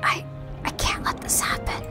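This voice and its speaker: A woman voicing a muddled, protective forest guardian in a game, with a halting, breathy mumble. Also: loud music behind the voice, lightly acted. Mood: agitated, resolute. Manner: halting, repetitive.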